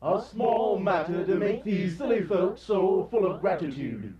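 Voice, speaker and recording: A man's voice: sits high, animated, a little slow, a loud crowd. Solemn male spirit, played by a man, declamatory and stern, dull far-off live recording for radio drama. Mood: probing. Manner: declamatory, commanding, formal. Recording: dull, echoey, distant, loud audience noise.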